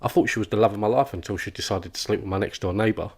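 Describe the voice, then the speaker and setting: An actor playing an oblivious, relentlessly chatty office worker in an animated comedy, with a rambling, oversharing manner. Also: male voice, fairly low pitch, very fast, slightly rough. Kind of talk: comic animated dialogue.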